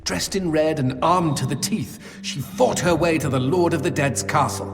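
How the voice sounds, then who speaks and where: A man's voice: a little high, normal speed, natural voice. A man playing a chatty, bawdy demon sidekick in a video game, with a theatrical storyteller's flourish. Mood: dramatic storytelling. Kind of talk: video game character acting. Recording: long hall reverb under game music.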